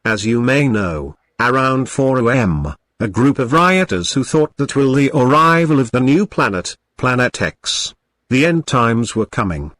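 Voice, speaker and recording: A man playing a formal local television news correspondent, reading a scripted morning report in a measured way. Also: medium pitch, big pitch swings, fairly bright tone, dry recording. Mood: formal, serious.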